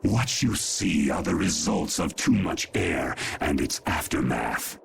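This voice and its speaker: An actor playing an ancient, imperious monster guardian in a fantasy game, speaking with slow, solemn grandeur and stern menace. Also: male voice, animated, noisy background, exaggerated voice. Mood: grave, stern. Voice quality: deep gravelly. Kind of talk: dubbed fantasy game voice acting.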